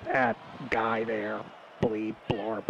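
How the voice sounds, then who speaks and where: Cartoon boy on life support, played by a man: robotic, halting, extremely slow and flat, dull faintly noisy recording. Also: on the low side, gravelly, heavily acted. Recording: dull, narrow sound with faint noise.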